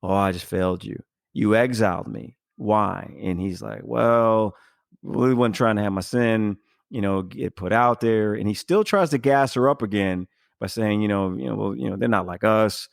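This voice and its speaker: A man hosting a casual podcast, recapping a story in a halting, think-aloud way and paraphrasing bits of dialogue. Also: on the low side, vocal fry, no echo, studio quality. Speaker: podcast host.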